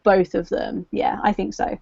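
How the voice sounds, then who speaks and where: A woman's voice: mid-range voice, flat delivery, normal speed, creaky, mellow, breathy edge, no echo. A woman co-hosting a casual book discussion livestream, thinking aloud and hedging as she chats. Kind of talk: casual chat. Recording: dull, lo-fi livestream audio.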